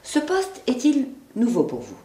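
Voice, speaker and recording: A woman presenting a business news bulletin in a clear, measured read delivery and interviewing guests. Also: a little high, huge pitch swings, dark tone. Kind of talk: scripted news narration.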